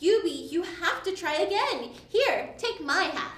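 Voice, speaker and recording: A woman voicing a spirited young girl character in an animated children's storybook readalong. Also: extremely high pitch, measured pace, exaggerated voice. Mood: urgent. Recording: roomy, distant mic.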